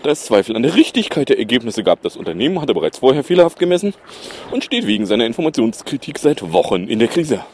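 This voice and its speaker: A man hosts his own podcast, reading news items aloud and then wandering off into sarcastic commentary full of fillers. His pitch swings widely, and there is a faint hiss under the recording. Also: sing-song, fast talker, darkish tone. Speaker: podcast host. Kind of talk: casual solo news podcast.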